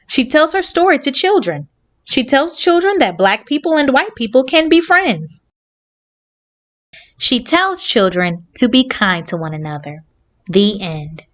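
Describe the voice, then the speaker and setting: Woman reading to children, lively high voice. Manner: simple, clearly phrased address to young children. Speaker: host of a children's read-along channel. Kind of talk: children's read-aloud narration.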